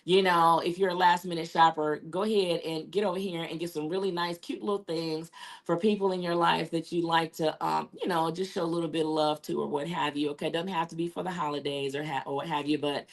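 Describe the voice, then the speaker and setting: Hoarse raspy-voiced woman hosting a show. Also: low voice, fairly even intonation, fairly quick. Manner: chatty, repeats herself, many fillers. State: hoarse, losing her voice. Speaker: talk show host. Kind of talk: casual live show sign-off.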